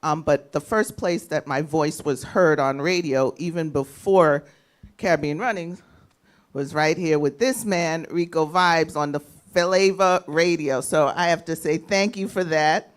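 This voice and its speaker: Clear, smooth-voiced woman panelist. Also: sits low, a little slow. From US Virgin Islands and New York. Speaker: radio host on a discussion panel. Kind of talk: informal panel introduction. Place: live panel event with an audience.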